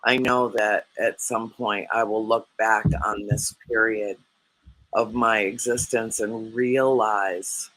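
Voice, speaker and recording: A man's voice: on the low side, flat delivery, slow pace, a bit bright, no room sound, rough recording. A man co-hosting a reflective spiritual discussion, speaking hesitantly with frequent restarts. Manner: hesitant, with many restarts and fillers. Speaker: co-host.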